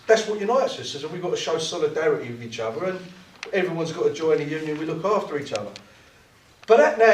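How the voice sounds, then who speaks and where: Impassioned man speaking in a roomy hall. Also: high voice. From South East London. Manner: impassioned, restarts and repeats words. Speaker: trade union activist speaker. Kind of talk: political speech at a meeting. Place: meeting room. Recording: roomy hall, mic at a distance.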